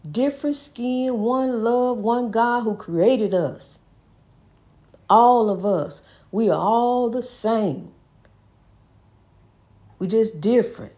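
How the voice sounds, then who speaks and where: A woman's voice: fairly high pitch, takes it slowly, long pauses, warm tone. A woman with a stutter giving a casual, folksy inspirational talk, repeating herself and calling the listener honey, on a dull lo-fi recording. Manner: says she stutters. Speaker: host. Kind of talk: casual inspirational preaching monologue.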